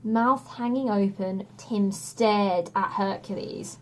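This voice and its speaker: A woman reading a children's story aloud as a teacher would to a class, with expressive narration. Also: medium pitch, takes it slowly, poor audio.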